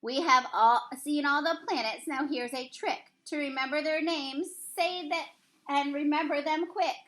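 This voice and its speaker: Female teacher, children's read-aloud, sing-song delivery, bright high voice. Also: normal speed. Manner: sing-song, expressive storybook reading. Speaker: teacher reading a picture book aloud.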